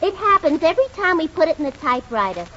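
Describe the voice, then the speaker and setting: Very high-pitched, brash bakery clerk. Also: female voice, sing-song, fast. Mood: chatty, hinting. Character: wisecracking, man-hungry woman bakery clerk, Miss Klotzmeyer. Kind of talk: 1940s radio comedy acting. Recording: vintage 1940s radio, muffled, faint noise.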